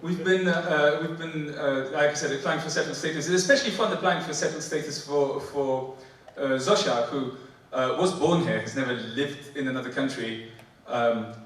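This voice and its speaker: A man in his forties with a Polish accent, performing spoken word poetry and joking between poems in halting, filler-heavy banter. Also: fairly high pitch, a bit bright, slight background noise. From Poland. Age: 40s. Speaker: performance poet. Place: theatre stage before a live audience. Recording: echoey hall, mic from a distance. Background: audience laughter.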